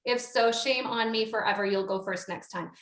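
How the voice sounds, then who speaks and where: A woman's voice: a little high, normal speed, fairly bright tone. A Canadian woman hosting a virtual networking event, chatty, upbeat and encouraging. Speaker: event host. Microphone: video call. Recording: echoey room on a video call.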